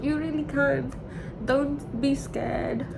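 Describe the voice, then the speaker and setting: A woman's voice: steady tone, takes it slowly. A woman in her twenties talking candidly and emotionally in a rambling personal confession, repeating herself for emphasis. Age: 20s. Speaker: vlogger. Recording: clearly noisy with low rumble.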